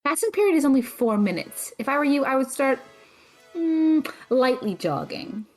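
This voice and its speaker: A woman acts out a stern young female student at a magic academy. Her voice sits a little high, and she delivers dry, deadpan teasing with stressed precision. It is audio drama acting with music underneath. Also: moderate pace, rough recording.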